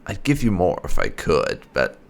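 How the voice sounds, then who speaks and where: A man's voice: sits low, huge pitch swings, normal speed, vocal fry. A man roleplaying through a video game, mixing sardonic in-character lines with casual commentary. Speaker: gaming video creator playing a roleplay character.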